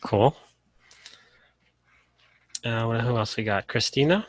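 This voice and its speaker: A man from Colorado leading a casual online class over a video call, relaxed and full of "uh" fillers. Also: medium pitch, takes it slowly, dry recording. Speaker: course instructor.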